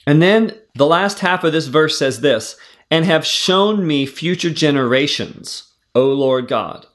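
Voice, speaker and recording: A man's voice: a little high, lively intonation, a bit bright. Earnest man teaching from the Bible. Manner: earnest, conversational, with many fillers and restarts; reads verses aloud slowly. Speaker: preacher teaching scripture.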